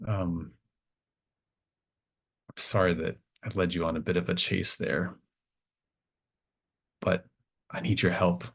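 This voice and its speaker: An earnest young follower acted out by a man in a tabletop roleplaying game, speaking in a low voice. The delivery is plain and halting, sincerely asking for help. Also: little pitch movement, vocal fry, fairly bright tone, full character voice. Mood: relieved, apologetic.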